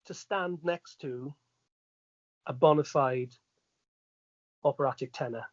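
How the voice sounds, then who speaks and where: A man's voice: mid-range voice, slow pace, no echo, lo-fi sound. A middle-aged Welsh man chatting casually as an interview guest, telling anecdotes and checking that the listener follows. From Wales.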